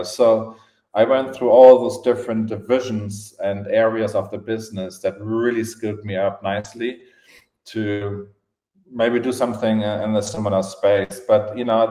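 A man with a German accent telling his story casually as a podcast guest, in halting phrases with repeated words. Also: on the low side, dark tone. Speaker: podcast guest, events entrepreneur. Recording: roomy, echoing podcast audio.

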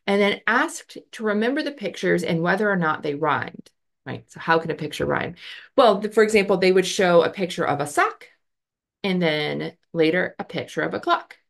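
Woman, thirties, lowish pitch, chatty educator style with fillers, recorded on a video call. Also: creaky. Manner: conversational, many fillers, gestures with her hands. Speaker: educator explaining research. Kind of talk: casual explanatory lecture. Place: home office with bookshelf. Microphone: webcam on a video call.

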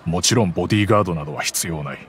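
An actor playing a gentle, regretful middle-aged merchant father who calmly recounts the past in a game cutscene. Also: deep voice, racing, lightly acted. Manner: calm, reflective storytelling.

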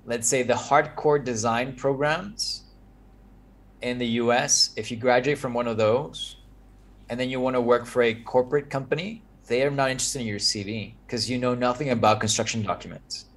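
A man's voice: medium pitch, moderate pace. A man in his thirties from Mexico, Spanish his first language, giving earnest advice as a guest on a video call from his home office. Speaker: guest architect. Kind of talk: casual video-call chat. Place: home office with framed pictures.

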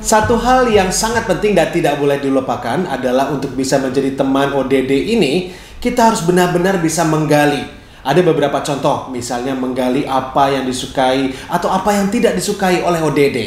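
Middle-aged male presenter, fairly high-pitched, expressive and clearly phrased tutorial delivery, recorded in a reverberant room. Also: fairly quick. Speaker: storyteller and caregiver giving a tutorial. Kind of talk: instructional presentation to camera. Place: small bright living room, indoors, seated on a sofa. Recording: echoey room.

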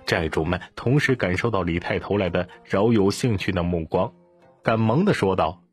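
Low, husky male audiobook narrator. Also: normal speed, soft background music. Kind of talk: scripted dramatic story narration.

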